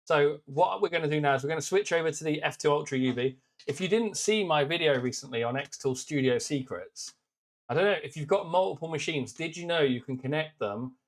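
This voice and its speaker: A British man with a naturally loud voice, hosting a casual live stream demo and chatting warmly with viewers. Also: a little high, fairly quick. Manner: chatty, upbeat, greets viewers by name. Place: workshop with laser engravers.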